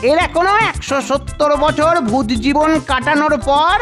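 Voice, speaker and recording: A woman's voice: high voice, steady tone, normal speed, fairly bright tone, faint music behind. A woman voicing a fussy, dithering male record-keeper of the dead in a cartoon, stammering and repeating words. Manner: muttering, stammering, repetitive. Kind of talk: cartoon voice acting.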